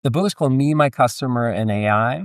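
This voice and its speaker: Man, Danish accent, mid-pitched, halting, casual conversational tone, dry close sound. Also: no room sound. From Denmark. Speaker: podcast guest, entrepreneur and author. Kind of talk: casual interview chat.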